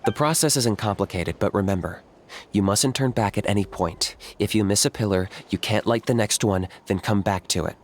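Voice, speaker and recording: A man voicing a cool, businesslike young warrior guide in a video game, matter-of-fact and measured. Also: fairly low pitch, lightly acted. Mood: instructive. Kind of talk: video game character dialogue.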